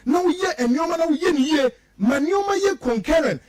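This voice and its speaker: A man's voice: very high-pitched, animated, normal speed, no room sound. A Ghanaian man giving emphatic, repetitive political commentary as he switches between two languages, full of rhetorical turns.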